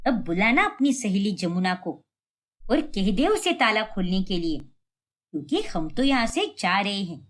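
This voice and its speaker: A woman voicing a sharp-tongued, bossy old village matriarch in a cartoon family drama, taunting as she talks. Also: mid-range voice, sing-song, unhurried. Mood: mocking.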